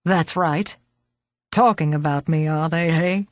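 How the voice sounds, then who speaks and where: A woman doing a creaky, grumbling old man. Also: low voice, unhurried, no room sound, voice pushed down. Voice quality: creaky, growling. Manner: grumbling, gruff. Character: frail grumpy old man, gruff but kindly.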